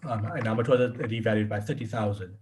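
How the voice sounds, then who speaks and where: A man's voice: lowish voice, fairly even intonation, quick pace, a little mellow, a little hiss or hum. A man working as a tutor, explaining in an informal one-on-one session, often repeating and rephrasing himself as he goes. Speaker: accounting tutor.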